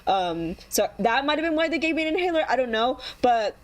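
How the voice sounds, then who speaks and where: A woman's voice: sits high, very animated, a little fast. Chatty young woman telling a story. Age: young adult. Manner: rambling storytelling with frequent ums and laughs. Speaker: podcast co-host. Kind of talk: casual chat among friends.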